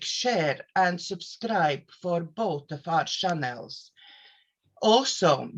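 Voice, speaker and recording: Latvian lady coach talking slow, lots of uh's, kinda muffled lo-fi audio. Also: fairly low pitch. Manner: careful non-native English with hesitant fillers. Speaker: host, life coach and therapist. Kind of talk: self-introduction to camera.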